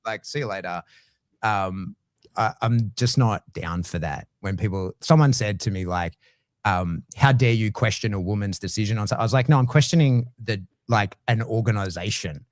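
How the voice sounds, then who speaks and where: A middle-aged Australian man talking casually as a guest, blunt and swearing freely, with lively swings in his voice. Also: lowish voice, normal speed, dry recording, clean studio sound. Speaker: guest dog trainer. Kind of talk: casual conversation.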